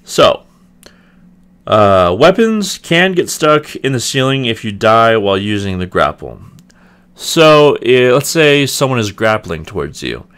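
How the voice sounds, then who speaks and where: A young man with a slightly raspy voice, casually reviewing a video game and hedging often. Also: lowish voice, fairly bright tone. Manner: rambling, hedging, many ums and restarts. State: clearing throat, voice getting raspy. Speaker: gaming video host. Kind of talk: casual gameplay review commentary.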